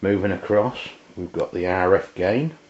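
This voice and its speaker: A man with an English accent giving an informal, unscripted hands-on product demonstration, halting and often pausing mid-sentence. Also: sits low, darkish tone. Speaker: product reviewer. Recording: roomy, dull lo-fi camera sound.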